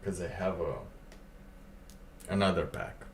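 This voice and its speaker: A man live streaming, chatting casually in a halting, rambling way with lots of fillers. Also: low voice, a little hiss or hum. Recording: roomy, distant mic with faint ambience.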